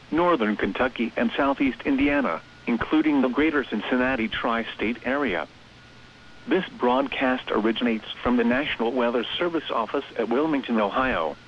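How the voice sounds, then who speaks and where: A synthetic male voice reading a scripted weather forecast on radio in a measured, impersonal way. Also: mid-range voice. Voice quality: synthetic text-to-speech voice. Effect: radio transmission. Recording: muffled, hissy weather radio broadcast.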